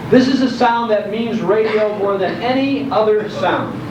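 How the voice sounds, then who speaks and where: A man's voice: sits high, a little slow. An older American man from Chicago giving a humorous, nostalgic storytelling talk to a live audience. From Chicago, United States. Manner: storytelling, rhetorical questions, humorous. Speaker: public speaker on old-time radio. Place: indoor program hall before an audience. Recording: echoey hall, distant mic, noisy, dull.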